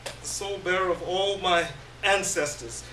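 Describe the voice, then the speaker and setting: A roomy, distant recording carries a man's notably high voice as he reads a story aloud on stage. His delivery is theatrical and halting, with long pauses between phrases. Faint noise sits behind him. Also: pushed and bright. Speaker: reader of a short story. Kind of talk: dramatic first-person literary reading on stage. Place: live indoor stage reading. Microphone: distant microphone.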